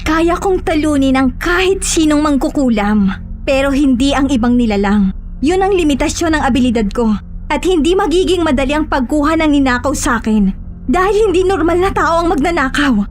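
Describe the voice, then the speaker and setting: A woman's voice: high-pitched, lively intonation, normal speed. A woman playing a stern, self-assured eighteen-year-old healer in an audio horror drama. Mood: grave.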